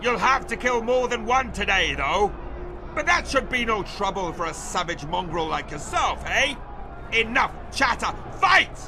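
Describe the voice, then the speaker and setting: An actor in a video game playing a mocking, gloating master of a fighting pit, with a sneering, theatrical, taunting delivery. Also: male voice, sits high, expressive, measured pace, noisy background. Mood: gleeful taunting. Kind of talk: dramatic video game voice acting.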